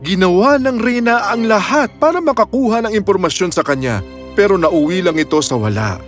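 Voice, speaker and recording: A man's voice: high voice, lively intonation, brisk, faint music behind. A man narrating a scripted children's fairy tale with a dramatic storytelling delivery.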